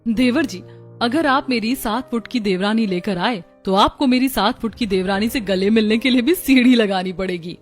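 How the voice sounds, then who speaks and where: Casting: female actor as young cartoon sister-in-law, fairly high voice, chiding and teasing, music underneath. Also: normal speed, close to an everyday voice. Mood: mocking. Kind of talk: Hindi family cartoon dubbing.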